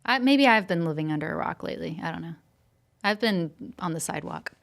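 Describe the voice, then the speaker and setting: A woman's voice: on the low side, expressive, brisk, gravelly, fairly bright tone. A woman chatting casually on a podcast with a playful, wry manner. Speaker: podcast participant. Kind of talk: casual banter.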